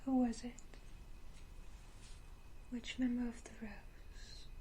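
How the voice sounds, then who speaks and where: A woman voicing an elegant, condescending vampire ruler, whispering with teasing, deliberate menace in an ASMR roleplay. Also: on the high side, little pitch movement, dark tone. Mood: quietly menacing.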